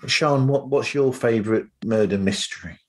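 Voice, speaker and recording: A man's voice: medium pitch, normal speed. A man hosting a casual interview podcast, talking reflectively and circling back over his points. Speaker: podcast host.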